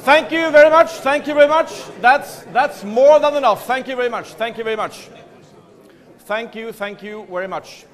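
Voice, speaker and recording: High-pitched Norwegian man rallying a hall. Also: moderate pace, a faint crowd. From Norway. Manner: lively, playful, urges the audience. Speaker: seminar speaker. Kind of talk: energetic business talk. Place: large hall.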